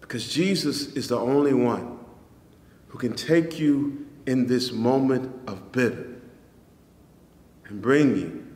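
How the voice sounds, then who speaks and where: Male pastor, forties, measured preaching, swells and hushes, reverberant sanctuary. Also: mid-range voice. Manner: measured preaching, rising on key phrases, dropping soft at line ends. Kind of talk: sermon. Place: church sanctuary. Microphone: stand mic in front, camera at a distance. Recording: echoey church hall.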